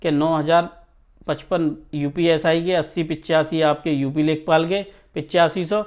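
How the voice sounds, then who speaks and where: High-pitched man, emphatic teacher. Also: steady tone, a little slow, darkish tone. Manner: emphatic, repeatedly checks listeners understand. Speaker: exam coaching teacher. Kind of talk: informal exam news talk to students.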